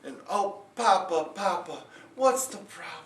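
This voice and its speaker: A man voicing a clever young peasant girl who gently chides her father, recorded in a roomy space from a distance. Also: sits high, normal speed. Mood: fond. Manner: lightly chiding, reassuring. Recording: roomy echo, distant mic.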